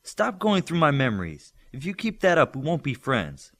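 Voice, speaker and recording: Man voicing a knowing, animated teenage girl. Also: a little high, moderate pace, pitched above the performer's own voice, heavily acted. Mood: firm, annoyed. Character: smart, chatty teenage girl with telepathic powers, knowing and assertive.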